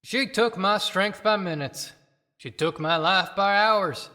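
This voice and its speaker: A woman's voice: mid-range voice, pushed and bright. A woman playing a bitter, haunted dead man, delivering a dramatic poetic monologue in an audio play. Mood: anguished, haunted. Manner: dramatic, declamatory, deliberate. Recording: long hall reverb.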